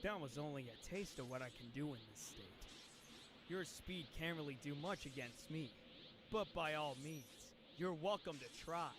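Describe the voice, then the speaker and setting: A man voicing a serious, self-assured martial-arts warrior in an anime fan dub, speaking in a measured way. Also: on the high side, background noise, close to an everyday voice. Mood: calm, confident. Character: Goku, calm confident male martial-arts warrior. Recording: music behind the voice.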